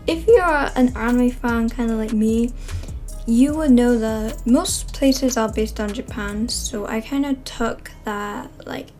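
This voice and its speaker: Young woman, fairly high voice, loose rambling vlog host chatter with fillers. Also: darkish tone. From Wales. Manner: rambling, many fillers and self-corrections. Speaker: channel host. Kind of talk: casual chatty vlog narration.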